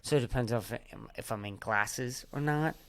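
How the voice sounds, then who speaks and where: A man's voice: medium pitch, normal speed, a bit bright, dry recording. A young man co-hosting a podcast in loose, rambling casual chat, full of fillers and swearing. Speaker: podcast co-host.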